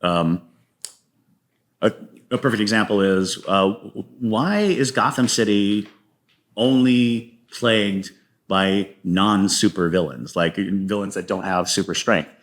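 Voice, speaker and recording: Low-voiced man, thoughtful interview guest. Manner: halting, thoughtful storytelling with self-quotes. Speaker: guest playwright. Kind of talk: conversational interview.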